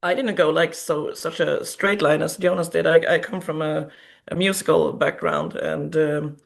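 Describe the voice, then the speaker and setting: A Swedish woman, a singer with a naturally low, raspy alto voice, chatting in an interview with a Swedish accent. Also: steady tone, moderate pace. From Sweden. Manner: chatty, trailing fillers. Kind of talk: casual interview chat.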